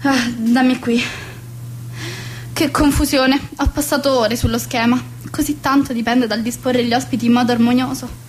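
A woman's voice: on the high side, fairly even intonation, moderate pace, a little hiss or hum, close to an everyday voice. An actress playing a bossy, exasperated society mother and hostess in a theatrical radio comedy, with a haughty, melodramatic manner. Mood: flustered, irritated.

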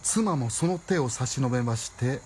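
A man's voice: mid-range voice, animated, moderate pace, darkish tone, slight background noise, lightly acted. A man acting as a formal storyteller, narrating a radio melodrama in polite, theatrical, old-fashioned phrasing. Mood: solemn, storytelling. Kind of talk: scripted radio drama storytelling.

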